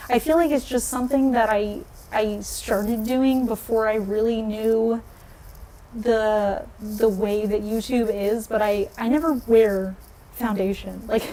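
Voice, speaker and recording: Chatty woman with a mellow voice. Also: low-quality recording. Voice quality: low voice. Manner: chatty, laughs often, reads and answers live comments. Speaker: nail polish vlogger. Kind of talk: casual livestream chat.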